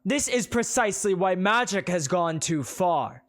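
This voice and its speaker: A narrator voicing a self-righteous blond man who preaches loudly against magic in slow, declaiming speech. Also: mid-range voice, animated, voice pushed up, natural voice. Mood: preaching.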